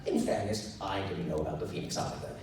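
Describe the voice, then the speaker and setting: A man's voice: low-pitched, a little fast, darkish tone, close to an everyday voice. Guy doing a formal stiff emperor from an audiobook, earnest n fed up, distant noisy room. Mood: aggrieved. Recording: echoey noisy room, recorded from far off.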